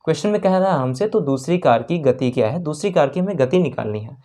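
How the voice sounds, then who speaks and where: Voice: mid-range voice, animated, fast talker, warm tone. A teacher with a North Indian accent works through exam maths step by step, repeating phrases, checking in with learners and mixing in English numbers and terms. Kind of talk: exam problem-solving lesson, explained step by step.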